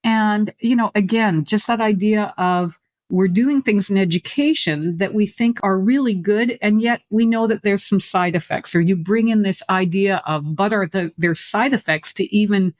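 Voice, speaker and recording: A woman's voice: medium pitch, moderate pace, darkish tone, no room sound, clean studio sound. A woman who is an educator hosts an interview, speaking with enthusiasm and lots of praise for her guest. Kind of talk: interview hosting.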